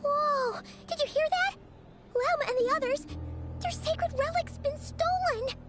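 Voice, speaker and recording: Tiny excitable companion sprite, very high and bouncy voice, game music behind. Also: female voice. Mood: indignant. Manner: refers to herself in third person, quick mood swings. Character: tiny floating female companion, chatty and excitable. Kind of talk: video game cutscene voice acting. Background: game soundtrack music.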